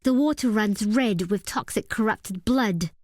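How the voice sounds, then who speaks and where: A woman playing a fierce, proud and bitter desert tribeswoman in a video game, speaking in solemn, deliberate, formal phrases. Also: medium pitch, lightly acted. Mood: grave, stern. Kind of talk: scripted video game character dialogue.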